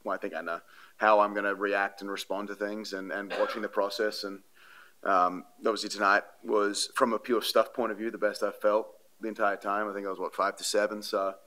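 Guy in his 30s at a press conference mic, rambling earnest answers, sharp bright voice, pretty monotone. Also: lowish voice, fairly quick. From Australia. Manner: rambling, many fillers and restarts, earnest. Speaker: baseball pitcher at a postgame press conference. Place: press room before a sponsor backdrop. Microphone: podium microphones.

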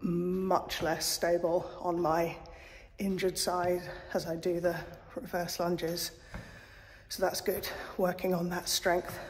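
Woman, fairly low voice, casual vlog chat, wry and self-deprecating, very reverberant small gym. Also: flat delivery, takes it slowly, slight background noise. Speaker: vlogger, injured athlete training. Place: small hotel gym, indoors. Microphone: camera at arm's length. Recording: echoey, reverberant hard-walled room.

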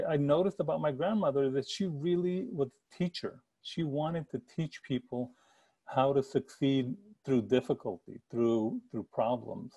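A man's voice: medium pitch, dark tone, breathy edge, poor audio. A middle-aged man from the El Paso area, an author giving an earnest talk about his past over a video call from an attic room at home. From El Paso area, Texas. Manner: earnest, gestures a lot, frequent restarts. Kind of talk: personal storytelling. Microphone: video call with earbuds.

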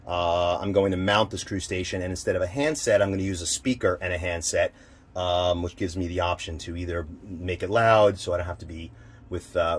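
A man giving an informal hands-on equipment demonstration, haltingly thinking aloud as he handles the gear. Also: low voice, slightly rough. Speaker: radio hobbyist demonstrating equipment. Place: inside a military-style off-road vehicle. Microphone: handheld camera, one hand free. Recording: noisy handheld camera audio.

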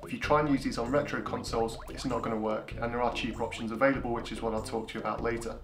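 A young man with a deep voice, explaining his gear to camera in a casual tutorial with frequent ums. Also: flat delivery, very fast. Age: young adult. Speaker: retro gaming YouTuber. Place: small indoor room. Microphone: camera-mounted shotgun mic. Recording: roomy echo with guitar music behind. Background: guitar music.

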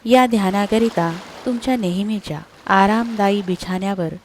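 A woman narrating a scripted sleep meditation in a soothing, unhurried manner, leaving long pauses between short phrases. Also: medium pitch, a little mellow, slight background noise.

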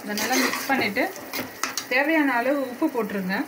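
Woman explaining a home cooking recipe. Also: fairly high pitch. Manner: unhurried step-by-step instructions, addressing viewers directly. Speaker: cooking channel host. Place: home kitchen at the stove. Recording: noisy home recording.

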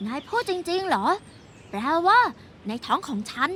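A squeaky, timid little creature kid. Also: female voice, very animated, moderate pace, a little hiss or hum, heavily acted. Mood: scared. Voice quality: squeaky and childlike. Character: small timid creature kid who loves bananas. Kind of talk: Thai cartoon dub. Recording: loud music under the voice.

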